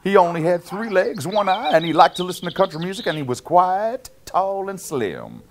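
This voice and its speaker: A man's voice: high voice, sing-song, moderate pace, a little hiss or hum, voice pushed up. A middle-aged man playing a jolly Canadian lumberjack, reading a picture book to children with playful, exaggerated sing-song rhythms and chuckly asides. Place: small indoor cabin-style set.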